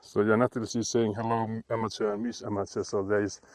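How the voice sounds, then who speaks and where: A man's voice: fairly low pitch, level tone, fast talker, dry recording. A man with a Finnish accent, chatting casually and laughing often while live-streaming on a frozen lake. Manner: chatty, laughs often, reads chat aloud.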